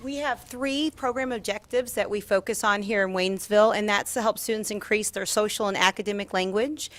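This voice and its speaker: A woman's voice: medium pitch, fairly even intonation, brisk, fairly bright tone. A woman giving a formal presentation as a school district administrator at a meeting. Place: school board meeting room.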